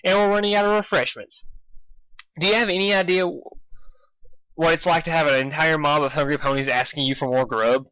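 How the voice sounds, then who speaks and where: Man doing a high, brash girl pony. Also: fairly even intonation, a little fast, pauses often, bright, forceful tone, smooth, no echo, low-quality recording. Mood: exasperated. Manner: blunt, pushy. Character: brash impatient young female cartoon pony athlete.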